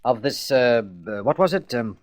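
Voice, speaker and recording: A man's voice: mid-range voice, lively intonation, normal speed, no room sound, clean studio sound, natural voice. A man playing a conscientious, good-natured space adventurer in a radio serial, speaking in an informal, affable way. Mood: earnest questioning. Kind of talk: vintage science-fiction radio serial acting.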